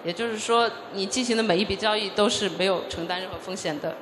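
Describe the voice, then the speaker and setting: A woman doing consecutive interpreting at a conference, measured and pausing with frequent fillers. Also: mid-range voice, fairly bright tone, slight background noise. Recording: echoey hall with faint ambience.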